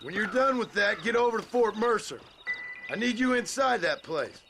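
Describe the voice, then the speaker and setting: Casting: impatient western gunslinger, American, dry and menacing, a touch higher than average, video game hero. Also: male voice, animated, moderate pace, noisy background. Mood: dry, commanding. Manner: dry, sardonic, threatening. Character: stern, wry, impatient gunslinger man in the Wild West. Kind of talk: video game character acting.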